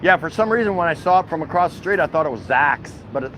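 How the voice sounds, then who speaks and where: A man with a somewhat high voice and an American accent talks in a loose, colloquial way, like he is livestreaming to regular viewers. He is folksy and jokey, and faint street noise sits behind him outdoors. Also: lively intonation, fast. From Milwaukee, United States. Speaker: walking vlog host. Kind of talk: casual livestream chat to viewers. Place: outdoors at a city food truck.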